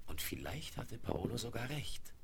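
A man's voice: medium pitch, sing-song, moderate pace, vocal fry. A man narrating a children's radio play in a scripted storybook style. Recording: very noisy vinyl record rip.

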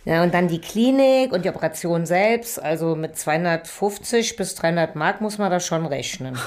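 A woman's voice: lowish voice, expressive, normal speed, darkish tone, natural voice. An actress playing a practical, gossipy landlady in a German radio drama, chatty and matter-of-fact, as one who knows how things are done. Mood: knowing, practical. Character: practical, gossipy, knowing landlady, worldly and helpful.

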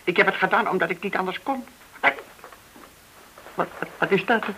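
An actor playing a frightened, cornered banker in a radio drama, halting and anxious as he pleads. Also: high-pitched, sharp tone, low-quality recording. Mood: terrified. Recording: hissy old radio play recording.

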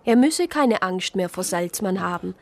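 An actress playing a reflective Protestant sister who narrates a crime story in a radio drama. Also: female voice, lowish voice, lively intonation, normal speed, darkish tone. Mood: composed narrating. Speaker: narrator and lead character.

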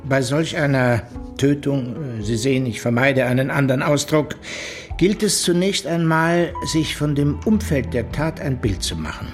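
A man's voice: mid-range voice, lively intonation, normal speed, soft background music, close to an everyday voice. Formal, careful male investigator played by an actor. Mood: measured, probing. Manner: formal, circumspect, old-fashioned phrasing. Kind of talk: German radio crime drama acting.

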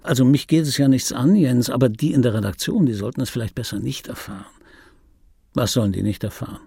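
An actor playing a disgruntled, wry middle-aged dubbing artist who tells his life in a rough voice, as a conversational radio play monologue. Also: mid-range voice, fast talker, mellow, no echo, studio quality. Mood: agitated retelling. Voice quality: rough, gravelly. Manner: sardonic, conversational storytelling. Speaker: narrator and lead of a radio play.

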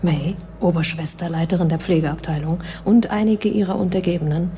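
Actress as low, mellow, tough female agent. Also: fairly even intonation, brisk, close to an everyday voice. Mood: grim, urgent. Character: tough, matter-of-fact female secret agent, thirties. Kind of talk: radio play acting. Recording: radio play with faint background noise.

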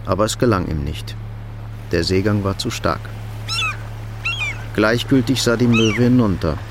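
A man's voice: on the low side, unhurried, background noise. A man reading scripted literary narration for a radio drama.

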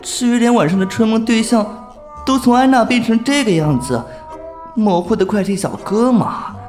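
A male actor as a shy, flustered young homebody in an audio drama. His pitch swings animatedly as he hesitates and stammers like someone hopelessly smitten. Music plays underneath. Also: expressive, moderate pace, darkish tone. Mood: flustered, agitated. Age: young adult. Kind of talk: Chinese audio drama acting with inner monologue.

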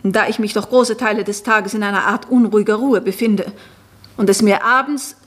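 A woman's voice: medium pitch, fairly even intonation, normal speed, breathy edge, lightly acted. An actress playing an anxious noblewoman in a 1960s radio drama, speaking in an intense, pleading, confiding way. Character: anxious noblewoman who believes she is someone else. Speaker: Florentine.

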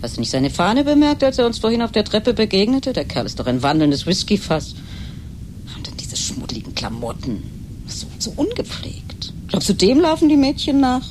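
An actress playing a sharp-tongued, skeptical wife in a German radio play, dry, direct and scornful in manner. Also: female voice, medium pitch, huge pitch swings, normal speed, a little hiss or hum. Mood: scornful, sarcastic. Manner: dry, sarcastic. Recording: 1980s radio drama with music.

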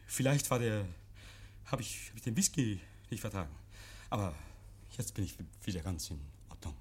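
A man's voice: fairly low pitch, lively intonation, normal speed, a little mellow, breathy edge. An actor in a 1950s radio play playing a nervous, paranoid man hiding guilt, agitated and stammering. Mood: flustered, insistent. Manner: agitated, stammering, insistent, hears imaginary noises. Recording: vintage 1950s radio recording.

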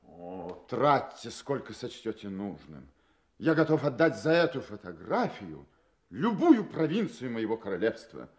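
A man's voice: mid-range voice, very animated, a little slow. A man acting an anxious, proud royal client in an audio drama, speaking formally and with earnest emphasis. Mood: pleading.

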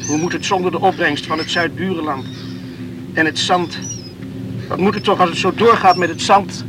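An actor playing a torn, brooding young islander who talks to himself in agitated self-reproach in a radio play. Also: male voice, sits high, fairly even intonation, moderate pace, background noise, lightly acted. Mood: anxious, torn.

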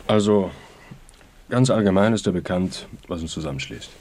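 A man's voice: low-pitched, animated, normal speed, darkish tone. An actor playing a pompous, lecherous fascist druggist in a radio play, declaiming in slogans as he courts a young woman. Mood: fanatical.

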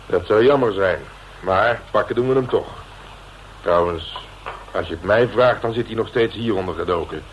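An actor playing a gruff, streetwise veteran police detective, blunt and domineering in colloquial radio drama dialogue. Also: male voice, on the low side, normal speed, close to an everyday voice. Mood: resolute. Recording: dull, noisy old radio recording.

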